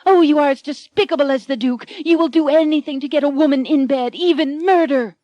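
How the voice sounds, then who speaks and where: A woman voicing a headstrong young heroine, shrill and melodramatic. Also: a little fast, darkish tone, no room sound, lo-fi sound, natural voice. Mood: indignant.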